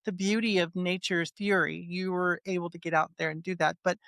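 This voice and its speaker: A woman hosts a casual podcast interview, eager and quick to react to her guest. Her questions come out loose and conversational. The sound is dry and close, with no room around it. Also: lowish voice, steady tone, fairly bright tone, dry recording. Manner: enthusiastic, reacts with short interjections.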